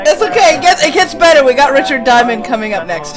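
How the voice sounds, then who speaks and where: A woman's voice: sits high, very animated, fast talker. A woman chatting casually as a co-host while an old radio show plays. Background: old radio show playing with music.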